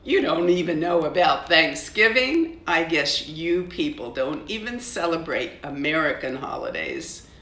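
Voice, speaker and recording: A woman's voice: low-pitched, very animated, a little slow, fairly bright tone, full character voice. An older woman voicing a snooty, mocking schoolgirl bully in a storybook, with sneering delivery. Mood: scornful. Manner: sneering, singsong taunts. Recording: roomy, echoing home recording.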